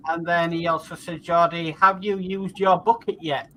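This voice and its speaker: A man's voice: fairly high pitch, little pitch movement, normal speed, fairly bright tone, a little hiss or hum, rough recording. A man hosting a casual live chat, reading out viewer comments and going through the week's schedule. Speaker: host.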